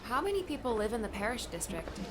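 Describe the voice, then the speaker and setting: Young female traveler, male reader puts her on curious and light, raised pitch, audiobook dialogue. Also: big pitch swings, quick pace, background noise.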